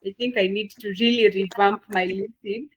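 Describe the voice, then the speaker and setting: A woman's voice: mid-range voice, fairly even intonation, fairly quick, breathy edge, poor audio. Upbeat Kenyan woman hosting a video call. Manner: upbeat, enthusiastic, lots of fillers and repeats. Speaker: session moderator. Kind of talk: closing remarks on an online masterclass.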